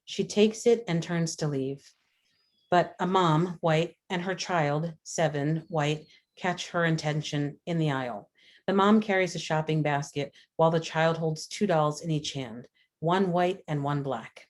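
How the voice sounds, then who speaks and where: A woman's voice: low voice, measured pace. A woman reading screenplay stage directions as scripted narration, matter-of-fact in delivery. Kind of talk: scripted narration, table read.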